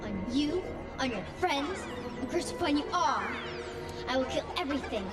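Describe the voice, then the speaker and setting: A woman's voice: high-pitched, animated, normal speed. An actress voicing the embittered, melodramatic ghost of an unloved young woman in a video game. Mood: vengeful rage. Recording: echoing, distant, loud music behind.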